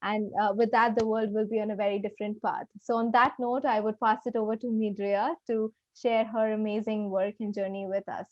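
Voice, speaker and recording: A woman giving a prepared, earnest and formal presentation as a program director, with hesitant pauses. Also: mid-range voice, flat delivery, darkish tone, dry recording. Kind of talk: prepared presentation at an online festival. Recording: dull, muffled lo-fi audio.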